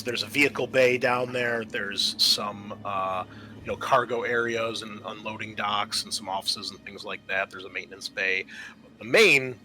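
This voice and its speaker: A man narrating a tabletop roleplaying game as game master, explaining in a halting way. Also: lowish voice, bright, forceful tone, slight background noise.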